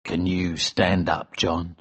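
Man playing a deep, slow, grim old smuggler. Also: husky, full character voice. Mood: grave, steady. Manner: calm, cold, deliberate. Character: grim, vengeful older smuggler, fatherly to a boy. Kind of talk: acted storybook dialogue.

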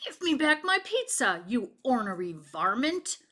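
A woman's voice: high-pitched, big pitch swings, a little slow, fairly bright tone, full character voice. A woman voicing a stingy, indignant Wild West man who scolds emphatically in a children's storybook. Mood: outraged. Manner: scolding, emphatic, colorful Western insults.

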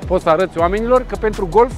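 A man in his thirties presents an outdoor car meet in a joking, energetic way. His voice sits high for a man. Faint music plays underneath. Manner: lively, joking, talks with his hands. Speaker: car show host. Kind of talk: casual on-location presenting.

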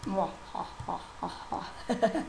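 A young woman with a croaky voice from a cold, chatting casually and giggling while she plays a game. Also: mid-range voice, extremely slow, reverberant, noisy background. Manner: chatty, giggly, easily exasperated. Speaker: gaming YouTuber. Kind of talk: casual gameplay commentary.

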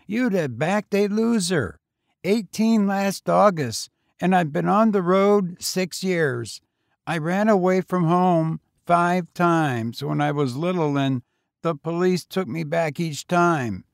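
A man voicing a frail, talkative, weary homeless boy of eighteen with a husky, colloquial, insistent way of talking in a story. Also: sits high, slow pace, no room sound, clean studio sound, pitched above the performer's own voice, heavily acted. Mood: boastful, knowing.

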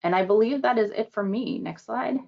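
A woman giving a measured webinar presentation with a few restarts, heard over a video call. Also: on the high side, vocal fry. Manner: measured, steady, some restarts. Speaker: government program manager presenting. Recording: dull lo-fi call audio.

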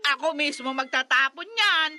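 A woman's voice: high-pitched, expressive, moderate pace, sharp tone, full character voice. A woman playing a scolding middle-aged mother in a Filipino radio drama, emphatic and repeating herself. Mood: angry scolding. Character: nagging, scolding middle-aged mother who resents her children's cats.

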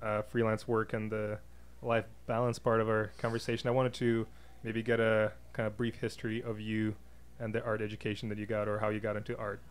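A man's voice: lowish voice, monotone, brisk. A man hosting a podcast interview in a casual, conversational style. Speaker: podcast host. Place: podcast booth inside a diner. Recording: clear background ambient noise.

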